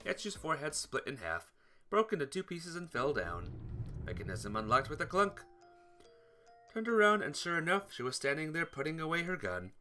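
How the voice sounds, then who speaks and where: A man playing and reading a visual novel aloud in an expressive way while chatting to viewers. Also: on the high side, normal speed. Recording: music behind the voice. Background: game music.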